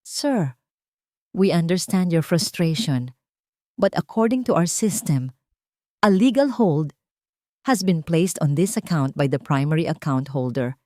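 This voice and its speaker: A woman voicing a calm, professional bank phone operator with a measured, formal manner in a narrated story. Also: low voice, no echo, clean studio sound. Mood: calm, official.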